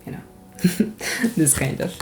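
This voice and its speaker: A woman from Ukraine with a Ukrainian accent, a native Russian speaker, giving a chatty tarot card reading in an animated, giggly, rambling and candid way. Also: slow, faint music behind. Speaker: tarot reader.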